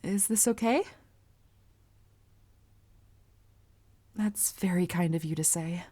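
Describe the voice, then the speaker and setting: A woman playing a polite, earnest, bashful young anime heroine with a crush, speaking formally and gently gushing in a roleplay audio. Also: lowish voice, normal speed, slightly rough. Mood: modest, pleased. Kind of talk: anime character roleplay audio, addressed to listener.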